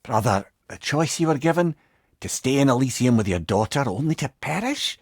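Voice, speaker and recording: A man's voice: medium pitch, expressive, moderate pace, no echo, studio quality. A man voicing a wise, wry old advisor in a video game, with a Scottish accent and an eloquent, thoughtful way of talking. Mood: gentle, concerned. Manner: eloquent, thoughtful, gently probing. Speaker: voice actor. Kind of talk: video game companion dialogue.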